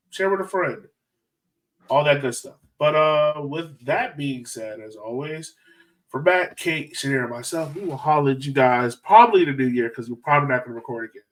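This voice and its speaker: A man's voice: mid-range voice, fairly bright tone, recorded from a distance, low-quality recording. A man hosting a casual sports fan podcast, talking in an informal, slangy, joking way. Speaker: podcast host.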